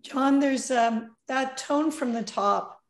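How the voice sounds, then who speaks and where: A middle-aged woman co-hosting an interview over a video call, giving thoughtful, measured comments. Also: high-pitched. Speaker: co-host. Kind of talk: conversational interview. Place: home office. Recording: roomy video call audio.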